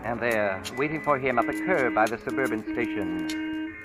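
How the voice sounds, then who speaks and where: An actor playing a brooding storyteller in an old-time radio horror drama, narrating with deliberate, suspenseful, theatrical phrasing. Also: male voice, mid-range voice, lightly acted. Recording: vintage radio recording with music behind. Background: dramatic music.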